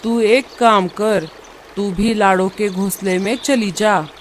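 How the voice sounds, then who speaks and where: Woman voicing an unhurried, motherly old cartoon bird. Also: mid-range voice, a little hiss or hum, natural voice. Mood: reassuring, advising. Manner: instructing, reassuring. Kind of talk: cartoon dialogue. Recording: music under the voice.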